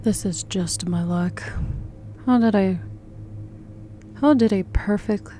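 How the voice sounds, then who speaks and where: Slow-paced, mellow woman playing a game. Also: mid-range voice, faint music behind. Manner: halting, stumbling when reading. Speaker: gaming video host. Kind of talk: casual let's-play, reading game text aloud.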